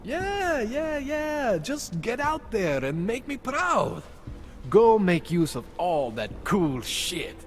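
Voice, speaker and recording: An actor playing an excitable, boastful male augmentation doctor in a video game cutscene. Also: high voice, takes it slowly, soft background music, full character voice. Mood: eager. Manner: eager, boastful, joking. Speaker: tech specialist fixing implants.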